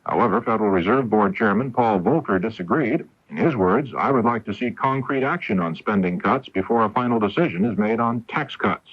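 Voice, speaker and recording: An American man anchoring a scripted radio newscast in a measured, formal way. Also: on the low side, husky. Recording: muffled 1980s AM radio broadcast.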